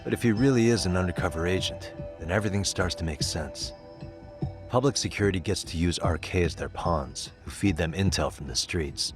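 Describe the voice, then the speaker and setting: Deep-voiced level-headed detective played by a man. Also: a little fast, over music, close to an everyday voice. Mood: serious, steady. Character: level-headed male detective briefing his allies. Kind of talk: English video game dub dialogue.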